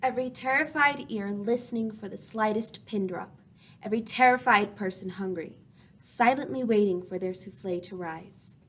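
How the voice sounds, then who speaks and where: A woman's voice: mid-range voice, moderate pace, natural voice. A woman reading scripted, dramatic narration as a storyteller in a radio play.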